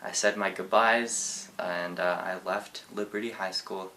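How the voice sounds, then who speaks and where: A man's voice: sits low, steady tone, unhurried, bright, forceful tone, a little hiss or hum. A teenage boy from Japan telling a story from his school year in a casual, rambling vlog. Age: teens. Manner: laid-back, rambling with fillers. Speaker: vlogger.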